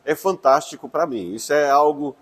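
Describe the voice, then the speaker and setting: A man who is a church pastor, giving an earnest personal testimony and pausing now and then with fillers. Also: a little high, a bit bright, husky.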